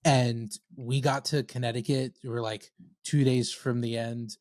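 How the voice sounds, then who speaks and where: A man's voice: mid-range voice, level tone, a little slow, a little mellow, dry recording, studio quality. A man, a guest band member, telling rambling self-deprecating stories in a casual podcast chat.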